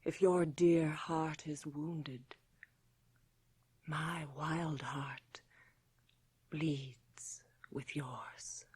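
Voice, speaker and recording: Melancholy female vampire for radio horror: intense, halting, husky whisper, extremely drawn-out delivery, close dry sound. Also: deep voice, fairly even intonation, darkish tone, no echo, heavily acted. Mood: tender, intense. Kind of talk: radio horror drama acting.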